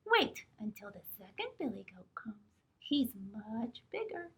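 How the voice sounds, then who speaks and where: A woman's voice: very high voice, expressive, normal speed, pushed and bright, voice pushed up, full character voice. Woman doing a tiny timid billy goat. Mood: pleading. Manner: very small pleading voice. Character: tiniest young billy goat, timid and small.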